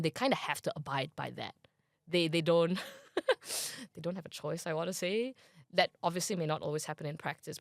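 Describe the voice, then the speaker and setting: A woman's voice: low-pitched, creaky, dry recording, clean studio sound. A young woman from Malaysia with a Malaysian accent, a guest in a casual interview who talks in a chatty way with many fillers, thinking aloud.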